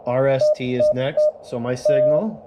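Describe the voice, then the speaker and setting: Casual Canadian ham operator, mid-pitched man, relaxed, thinking aloud, loud radio static behind. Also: a little slow. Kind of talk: casual narration of radio contacts.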